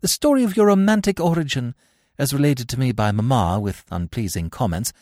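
A grand young society lady, as a male reader puts her on, imperious and gushing. The voice sits high and swoops affectedly, with a dry audiobook sound. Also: darkish tone, dry recording.

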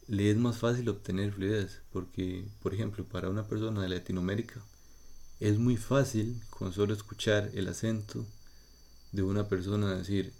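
A Costa Rican man speaks in a low, gravelly voice. He explains things patiently in short, measured phrases, as if talking to learners. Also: warm tone. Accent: Costa Rican Spanish. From Costa Rica. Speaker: podcast host. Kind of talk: slow explanatory monologue for learners.

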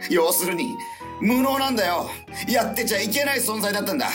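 Melodramatic, swooping, regret-ridden radio host. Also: male voice, high-pitched, animated, fairly quick. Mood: despairing. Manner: theatrical, self-pitying. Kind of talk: comic radio drama acting. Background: background music.

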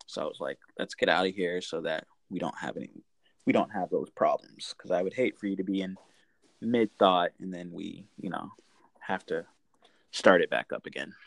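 Male interviewer: low register, creaky, casual, halting delivery, close dry sound. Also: no room sound. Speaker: interviewer host.

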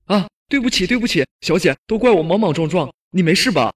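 An actor playing an anxious, flustered, apologetic young manservant with a husky voice in a Chinese period audio drama. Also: male voice, high voice, lively intonation, fast talker.

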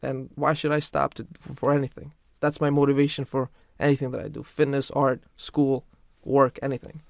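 A man's voice: mid-range voice, level tone, normal speed, creaky, no echo, studio quality. A young man telling his story in a casual podcast chat, earnest and full of restarts. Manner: earnest, many restarts and "literally". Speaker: guest.

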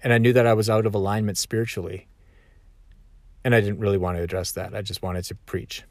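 A man's voice: on the low side, steady tone, fast talker, no room sound, studio quality. A man talking reflectively in a conversational, self-correcting way. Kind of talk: reflective solo talk.

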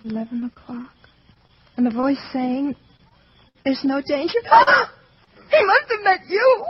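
A woman's voice: high voice, big pitch swings, measured pace. An actress playing a frightened, fragile young woman in an old-time radio drama. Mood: fearful. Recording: muffled old radio recording with music.

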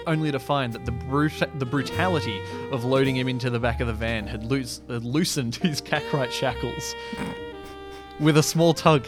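A man hosting a podcast, reading his own sci-fi story in a mock-dramatic, self-amused way and chatting casually. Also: mid-range voice. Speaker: podcast host and amateur story writer.

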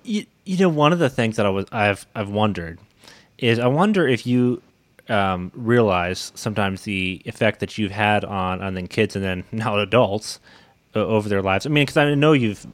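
Low-voiced man interviewing, dry sound. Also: expressive, moderate pace, dry recording. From Canada, Ontario. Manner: hesitant, roundabout questioning. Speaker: interviewer, host. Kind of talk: casual interview.

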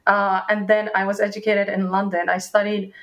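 A woman's voice: medium pitch, level tone, normal speed. Chatty young woman startup founder. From Sweden. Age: young adult. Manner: chatty, restarts words, acts out dialogue. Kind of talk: casual interview answers.

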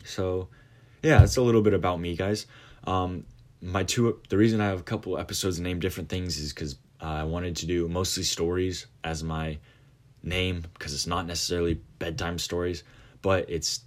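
A man of nineteen, host of his own podcast, talking casually about it with many fillers and restarts. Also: low-pitched. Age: late teens. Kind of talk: casual monologue.

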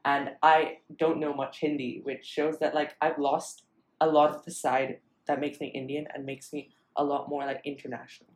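A man raised in Singapore, co-hosting a casual discussion podcast, talking quickly and earnestly, often asking for agreement. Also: on the high side, flat delivery. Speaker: podcast co-host. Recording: echoey room.

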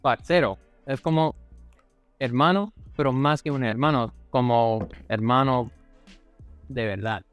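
Smooth-voiced American man, casual interview guest. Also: mid-range voice, measured pace, dry recording, soft background music. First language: English. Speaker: interview guest, resident physician. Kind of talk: casual, friendly interview chat.

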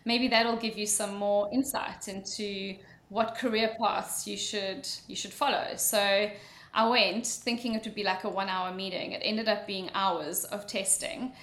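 A woman from Mauritius with a South African accent, telling her career story as a podcast guest in a relaxed, storytelling way. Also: mid-range voice, unhurried. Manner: relaxed storytelling, frequent ums. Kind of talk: conversational interview answer. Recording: echoey room.

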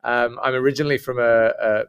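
A man's voice: mid-range voice, fairly even intonation, a little fast, fairly bright tone, smooth, dull audio. A middle-aged man with a northern Midlands English accent, a guest talking reflectively and modestly, often repeating words. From Northern England and Midlands, UK. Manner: self-deprecating, repeats words. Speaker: interview guest, school principal. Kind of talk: casual reflective interview talk.

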